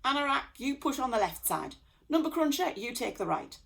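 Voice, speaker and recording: A woman's voice: high voice, big pitch swings, moderate pace, vocal fry, fairly bright tone, voice pushed up, exaggerated voice. A woman voicing a bossy male gang leader in a children's story, snapping out curt orders.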